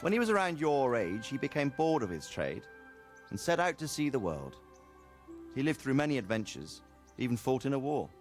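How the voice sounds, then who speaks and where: An actor playing an easygoing middle-aged nobleman in a video game, a fatherly mentor who talks in an unhurried, wry, reflective way. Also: mid-range voice, big pitch swings, soft background music, close to an everyday voice. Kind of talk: cinematic video game dialogue.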